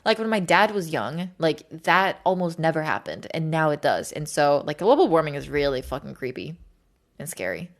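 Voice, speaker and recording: A woman's voice: low voice, lively intonation, moderate pace. A woman from Sweden chatting casually and informally to livestream viewers, rambling with plenty of filler words and some swearing. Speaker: livestream host.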